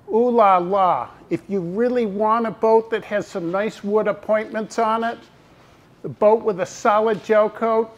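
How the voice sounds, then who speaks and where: A middle-aged man presenting new products at a launch. He talks enthusiastically and speaks to viewers and staff by name. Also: high voice. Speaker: company owner presenting boats.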